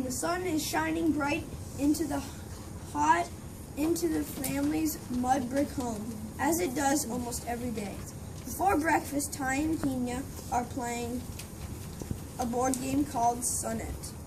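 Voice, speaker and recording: A woman with a high voice narrates a scripted story for amateur readers theater. She reads a little haltingly, and the mic is far away in a noisy room.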